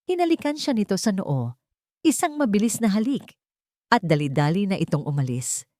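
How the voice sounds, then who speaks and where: A woman's voice: mid-range voice, big pitch swings, normal speed, darkish tone, dry recording, studio quality. A woman with a Filipino accent reading scripted, dramatic story narration.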